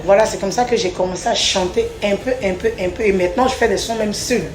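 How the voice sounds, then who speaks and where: A woman's voice: medium pitch, fast, crowd noise. Woman singer in an echoey room. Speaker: singer being interviewed. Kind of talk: casual interview, personal storytelling.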